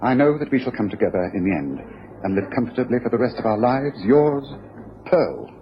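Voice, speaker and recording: An actor playing a brisk, self-assured detective with a decisive, explanatory manner in a period radio drama. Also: male voice, medium pitch, big pitch swings. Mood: intent, deductive. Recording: dull old radio recording, faint hiss.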